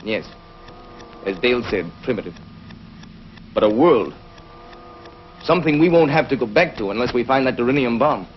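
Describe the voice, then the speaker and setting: A man plays a learned doctor of the future in a 1950s TV space serial. He explains things earnestly and deliberately, with widely swinging pitch. The soundtrack is dull and noisy. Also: lively intonation, close to an everyday voice. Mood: explaining. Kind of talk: 1950s television science fiction acting.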